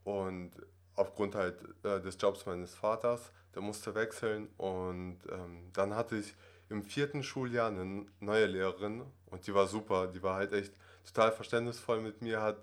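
Low-voiced young man, rambling and chatty. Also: steady tone, measured pace. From Russia. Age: 20s. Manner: rambling, many fillers and tag questions. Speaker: acting student guest. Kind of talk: casual podcast interview.

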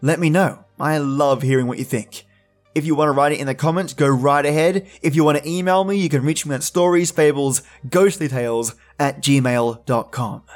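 A male podcast host speaks conversationally to his listeners. His voice sits a little high, and soft music plays faintly behind him. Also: moderate pace.

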